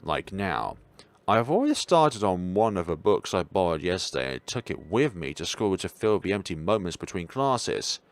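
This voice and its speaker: A young man reading a visual novel aloud as a let's player, expressive but sometimes stumbling, with casual commentary of his own. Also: deep voice, normal speed.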